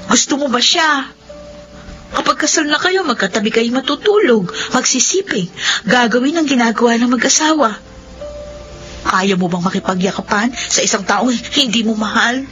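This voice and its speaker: An actress playing a blunt, protective, exasperated young friend in a radio drama, questioning and scolding. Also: female voice, on the high side, lively intonation, normal speed, fairly bright tone. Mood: incredulous. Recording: dull sound, faint music.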